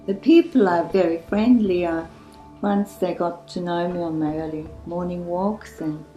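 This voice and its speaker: A woman's voice: on the low side, fairly even intonation, measured pace, dark tone, reverberant, muffled recording, faint music behind. An older woman recounting her time as a church volunteer, hesitant and full of fillers. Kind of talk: interview testimony.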